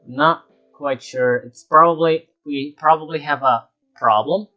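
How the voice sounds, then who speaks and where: A man's voice: a little high, measured pace, highs cut off, soft background music. A man giving an unscripted screen-share tutorial, talking through each step as he works and often repeating himself. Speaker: tutorial presenter.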